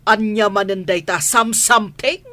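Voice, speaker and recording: A woman's voice: medium pitch, unhurried, sharp tone. A woman playing a suspicious, scolding mother and household madam in an Ilocano radio comedy drama, questioning everyone emphatically. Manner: interrogating, exclaiming, emphatic.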